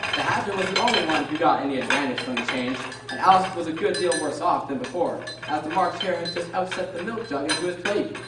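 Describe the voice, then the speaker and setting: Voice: mid-range voice, fast talker, hardly any pauses. Echoey, distant storybook narrator. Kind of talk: scripted narration. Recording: echoey hall, distant mic, clattering noise.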